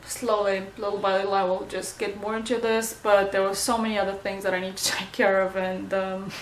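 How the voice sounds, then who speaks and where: A young woman with a Romanian accent, a native Romanian speaker, chatting casually in a home vlog and often pausing to search for words. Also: mid-range voice, level tone, a little hiss or hum, rough recording. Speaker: vlogger.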